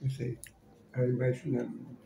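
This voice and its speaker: A man with a European Portuguese accent chats casually in a creaky voice. The room rings around him and dishes clink clearly. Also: medium pitch, completely flat delivery, a little slow, dark tone, a little hiss or hum. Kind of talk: casual table chat. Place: home dining room, indoors. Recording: roomy phone recording, clinking dishes. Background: cutlery and dishes clinking.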